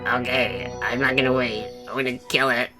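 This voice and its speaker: A man voicing a casual, hot-headed, foul-mouthed cartoon boy hero, offhand and halting, in an improvised parody dub. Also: mid-range voice, steady tone, over music, lightly acted. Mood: combative.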